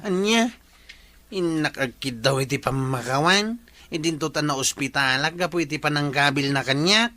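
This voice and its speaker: Man playing a bright-voiced, boastful Ilocano village watchman. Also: a little high, normal speed. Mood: indignant. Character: boastful male village watchman chief, indignant and whining. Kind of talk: Ilocano comedy audio drama acting.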